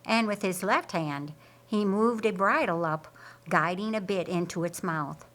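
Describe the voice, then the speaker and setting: A woman reading an audiobook story in a deliberate, theatrical way. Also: on the low side, fairly bright tone. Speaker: audiobook narrator. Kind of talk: dramatic scripted storytelling narration.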